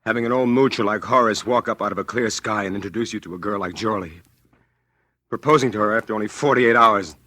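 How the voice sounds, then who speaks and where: Earnest, agitated young lover, American, forceful bright tone, vintage radio suspense. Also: male voice, fairly low pitch, quick pace. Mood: tense narration. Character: anxious lovestruck young man telling his suspense story. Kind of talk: 1940s radio drama acting. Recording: old time radio show recording.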